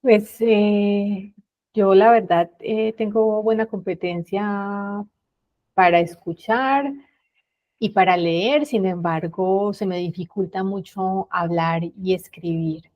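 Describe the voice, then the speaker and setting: A woman, a professor on a panel, speaking candidly and in a measured way with hesitations. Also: medium pitch, steady tone. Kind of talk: panel discussion. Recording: slightly dull, muffled audio.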